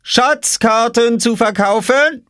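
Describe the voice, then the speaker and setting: A man acts out a cheeky small boy from a children's storybook. The voice sits high and swings eagerly up and down. He sounds stubborn and very pleased with himself. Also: very animated, brisk, dry recording, studio quality. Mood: hawking loudly. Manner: eager, triumphant, calling out.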